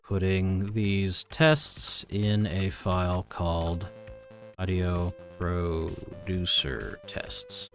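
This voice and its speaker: A man chatting casually as he codes on a livestream, muttering to himself and grumbling with some swearing. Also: low-pitched. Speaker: livestreaming programmer. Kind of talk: casual chat while live coding.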